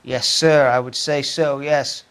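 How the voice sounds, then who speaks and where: A man's voice: medium pitch, steady tone, unhurried, a bit bright, clear, resonant tone, natural voice. A man playing a respectful, watchful witness in a detective game, plain-spoken, with an American accent. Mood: earnest, deferential. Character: deferential, watchful male school witness.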